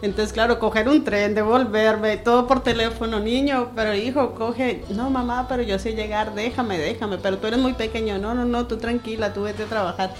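A woman from Colombia chatting on a radio panel, lively and laughing often. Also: on the high side, normal speed, few pauses, soft background music. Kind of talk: casual radio chat.

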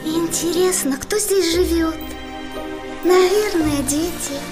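A gentle young princess in a fairy-tale audio play. Her voice is high and sweet, the words come slowly, and loud music plays behind.

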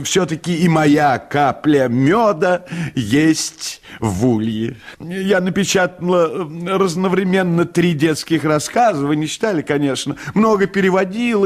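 Man putting on a high-pitched, gushing, nervous lady. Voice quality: high, tenor-like voice. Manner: effusive, halting, languid. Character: gushing middle-aged lady amateur playwright, nervous, pleading, pushy.

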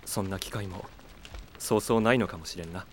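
A man's voice: sits low, a little fast, a little hiss or hum, natural voice. An actor playing a proud, stoic swordsman who is coolly devoted, speaking in a terse, solemn, restrained manner for an anime audio drama. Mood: wry, reflective. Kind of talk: Japanese anime-style audio drama acting.

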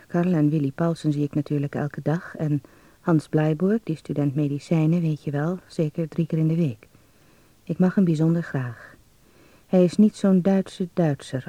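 An actor in a radio drama playing an earnest, naive young Dutch man, musing softly as he reads out his letter home. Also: a little high, no room sound, natural voice. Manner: confiding, musing. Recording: dull, muffled close recording.

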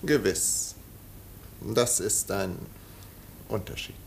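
An actor playing a suave, condescending English aristocrat in a German radio play, polished and faintly ironic, with small hesitations. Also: male voice, on the low side, expressive, very slow, creaky, slight background noise, full character voice.

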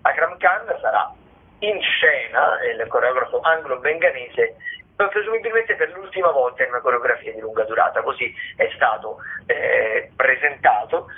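An Italian man presenting theatre listings on the radio in a conversational, discursive way, often halting and correcting himself. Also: mid-range voice, slightly rough. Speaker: radio arts programme presenter. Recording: muffled, narrow phone-like radio sound.

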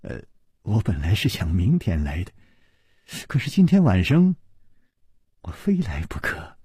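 Man acting a husky, flirtatious roguish hero. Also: wildly swooping pitch, unhurried, dry recording, clean studio sound, exaggerated voice. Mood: soft, tender. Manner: soft, low, flirtatious. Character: roguish, teasing, smitten male martial-arts hero.

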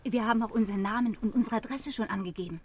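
An actress playing an eager, chatty wife who butts in as a witness in a radio crime drama. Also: female voice, fairly high pitch, very fast, close to an everyday voice. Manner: chatty, butting in. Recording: faint background noise.

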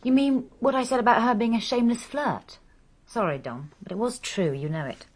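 A woman's voice: on the high side, a little fast, lightly acted. An actor playing a forthright, earnest young woman in a radio drama. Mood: blunt.

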